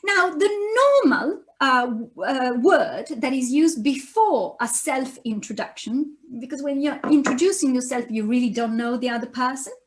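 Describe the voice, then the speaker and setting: A woman's voice: sits high, animated, moderate pace, few pauses. A woman whose first language is Italian, with an Italian accent, giving a recorded beginner language lesson and patiently spelling out sounds for learners to repeat. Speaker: university Japanese lecturer.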